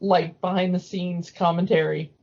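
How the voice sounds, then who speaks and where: A woman's voice: on the low side, fairly even intonation, normal speed, darkish tone. A woman co-hosting a podcast in a casual, chatty discussion. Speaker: podcast co-host. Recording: dull lo-fi audio.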